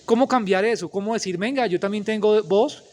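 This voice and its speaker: High-voiced interviewer. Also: male voice, fairly quick, a little mellow. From Colombia. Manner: long, emphatic questions. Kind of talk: interview questions.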